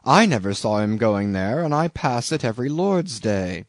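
A man's voice: mid-range voice, very animated, normal speed, no echo, studio quality. A man voicing a stern, pious old Quaker sea captain with a hollow voice, severe and preachy in archaic speech. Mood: scandalised.

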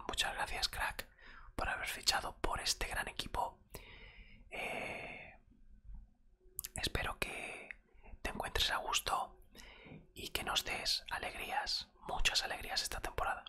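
A man with an accent from Spain speaks entirely in a close-miked whisper, airy and very bright. He plays a football coach in an ASMR roleplay, talking softly and without hurry, in a conversational way. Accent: Spanish from Spain. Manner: soft, unhurried, conversational. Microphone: close desktop microphone.

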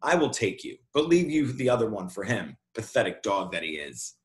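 A man's voice: fairly low pitch, sing-song, normal speed. A man voicing a boastful monstrous tiger in a storybook, mocking and dramatic. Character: dark, scornful, boastful monstrous male tiger beast.

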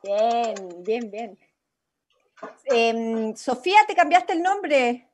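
A woman's voice: fairly high pitch, expressive, extremely slow, a little mellow, slight background noise. A woman with a Chilean accent directing an improvised drama workshop over a video call, encouraging and guiding. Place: indoors at home, on a video call.

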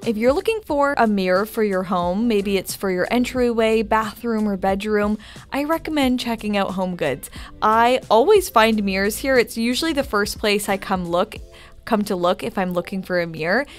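A woman's voice: medium pitch, moderate pace, soft background music. A woman interior designer talking casually and upbeat as she walks through a home decor store, giving shopping tips in a voice-over. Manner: upbeat, chatty recommendations. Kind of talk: shop-with-me voice-over.

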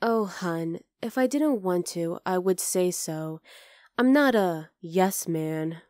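Casting: actress as young wolf-girl roommate for ASMR roleplay, fond teasing with tender exasperation, unhurried delivery, close dry mic. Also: lowish voice, animated, darkish tone, no room sound. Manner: casual, exasperated but tender, sighing. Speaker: voice actor in audio roleplay. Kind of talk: ASMR roleplay, acted one-sided dialogue.

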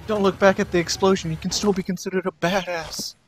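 A man's voice: high-pitched, little pitch movement, a little fast, slight background noise. A man co-hosting a gaming show, giving casual, joking commentary during co-op play. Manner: playful, joking. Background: game audio and music.

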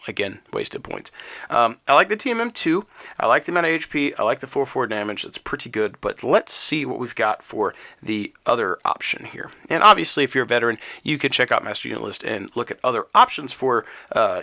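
A man's voice: mid-range voice, vocal fry. A man with a stuffy, crackly voice from a cold, casually chatting through an unboxing of game miniatures and cards. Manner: relaxed, chatty, lots of ums, sound effects like "shwoop". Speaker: miniatures hobby channel host. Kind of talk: unboxing and card review.

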